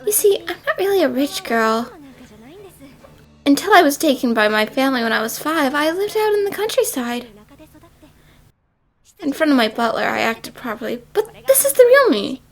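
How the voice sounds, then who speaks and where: A woman voicing youthful, expressive young women with a girlish manner in a cartoon fan dub. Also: sits high, normal speed, long pauses. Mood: friendly. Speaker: voice actor in amateur cartoon dub.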